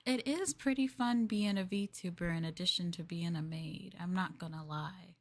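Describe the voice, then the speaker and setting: A woman livestreamer in casual roleplay chat, playing a polite, chatty maid and often dropping into soft, breathy near-whispers. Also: fairly low pitch, moderate pace. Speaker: VTuber livestream host.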